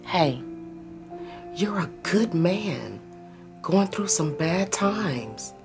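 A woman who is direct and encouraging in a screen drama. Her voice is fairly low, with lively movement in pitch. She sounds probing yet reassuring, in a natural style. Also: extremely slow, music in the background, full character voice. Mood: consoling. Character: direct, encouraging woman drawing out a troubled stranger. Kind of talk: naturalistic film drama acting.

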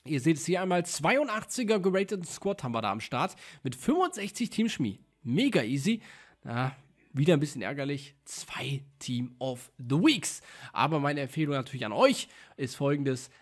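A young man with a faintly sick voice hosts a gaming video from his desk. His pitch sits a little above most men's, and he talks in a chatty, upbeat way, full of small fillers. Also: sing-song, moderate pace, faint music behind. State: slightly sick voice. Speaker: gaming YouTuber. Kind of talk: casual gameplay commentary. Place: indoor room at a desk.

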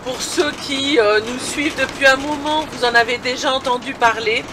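A woman's voice: sits high, normal speed. A woman casually narrating a vlog from a camper van, chatty and speaking directly to viewers. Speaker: vlogger. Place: inside a moving or parked camper van. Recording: vehicle engine and road noise.

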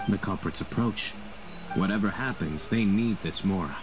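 An actor playing a stern, composed young male investigator in a video game, speaking in a measured, serious and deliberate way. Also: low voice, music in the background, lightly acted, rough recording. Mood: commanding, measured. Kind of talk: scripted game dialogue.

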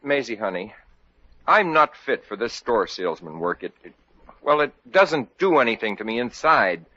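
A man's voice: medium pitch, sing-song, normal speed, bright, forceful tone. An actor playing a restless, stubborn young boyfriend and hapless salesman in 1940s radio comedy style. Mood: determined. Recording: muffled old radio recording.

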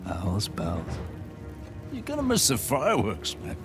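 A man's voice: fairly low pitch, wildly swooping pitch, measured pace. A man playing a smug, mocking arms dealer villain in a video game, drawling his taunts. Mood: defiant. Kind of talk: video game cutscene acting. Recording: game audio with music underneath.

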